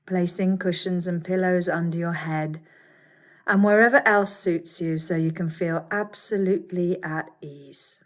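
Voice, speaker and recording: A woman teaching yoga nidra, guiding a meditation with soothing, measured instructions and gentle pauses. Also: on the low side, steady tone. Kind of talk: guided meditation.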